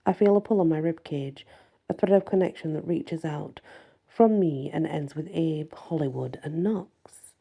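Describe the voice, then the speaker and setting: A woman reading a first-person fantasy story as soft ASMR audiobook narration. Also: low voice, measured pace, gravelly, slightly rough. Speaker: story reader.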